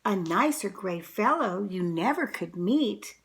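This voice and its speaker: A woman reading a picture book aloud to children, with deliberate, dramatic, expressive storytelling. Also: mid-range voice, background noise.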